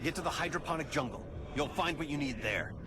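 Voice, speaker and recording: An actor playing a brisk, scientific male superhero in a video game, urgent and clipped, giving terse instructions. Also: on the high side, expressive, noisy background, close to an everyday voice. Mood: focused, terse. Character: brisk, scientific, anxious futuristic male superhero, commanding and dry. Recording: loud music behind the voice.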